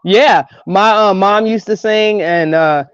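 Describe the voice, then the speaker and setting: A woman with a low speaking voice talks frankly and teasingly as a guest on a live stream. Her voice comes through a dull, lo-fi call line with a dry, close sound. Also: slow, clear, resonant tone, no echo. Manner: frank and teasing, laughs often. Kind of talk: casual chat.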